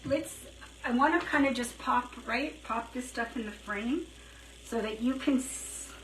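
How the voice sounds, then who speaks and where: A woman chatting casually on a live craft stream, thinking out loud as she works, her throat dry and her voice feeling worn. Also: fairly high pitch, unhurried. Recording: roomy, slightly distant, faint hiss.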